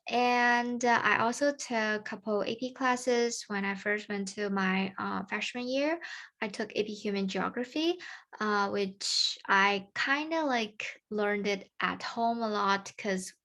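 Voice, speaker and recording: A woman's voice: medium pitch, fairly bright tone. Teen girl with a Mandarin Chinese accent. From China. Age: teens. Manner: casual, halting, many fillers and restarts. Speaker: student presenter. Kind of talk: recorded presentation to peers.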